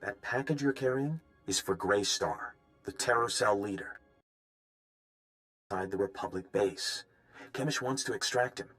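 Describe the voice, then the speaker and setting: An actor playing an earnest undercover informant in a video game, talking in a confiding manner. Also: male voice, on the low side, measured pace, pauses often, a bit bright, faint music behind. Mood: urgent.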